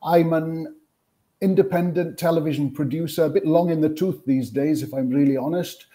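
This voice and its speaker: A Welsh man in his fifties speaks as a guest in an interview, telling things in a conversational way. His voice is soft-edged and mellow, pitched slightly above the middle for a man. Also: steady tone, normal speed. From Wales. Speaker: interview guest, television sports producer. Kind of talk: conversational career recollection in a panel interview.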